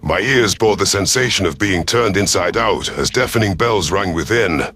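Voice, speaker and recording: A man's voice: sits low, animated, moderate pace, sharp tone. A man reading a first-person science fiction story as a scripted narration. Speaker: narrator of sci-fi audio stories. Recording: music behind the voice. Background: music.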